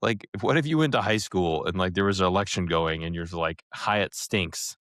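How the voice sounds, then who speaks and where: A man co-hosting a podcast, chatting casually in a halting, rambling, joking way. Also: sits low, fairly bright tone, no room sound, clean studio sound. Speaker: podcast co-host reviewing an audiobook. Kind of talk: casual review chat.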